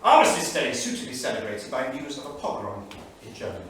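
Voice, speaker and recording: A grave English intellectual of the late 1930s, a man reading his diary with anguish and emphasis. His mid-pitched voice is measured and theatrical, heard from far off in a very echoey studio with faint noise. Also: sing-song, fairly bright tone. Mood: grave, indignant. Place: small theatre studio, indoors.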